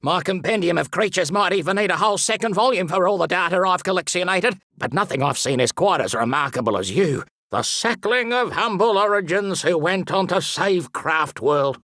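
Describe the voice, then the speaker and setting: Fussy pompous explorer professor type for a cartoony game, super animated up and down voice, theatrical, dry studio sound. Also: male voice, high voice, normal speed, hardly any pauses, no room sound. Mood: chirpy, enthusiastic. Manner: theatrical, pompous, with whimsical made-up words. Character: fussy, excitable, pompous male explorer and wildlife expert. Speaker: voice actor. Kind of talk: animated video game character voice lines.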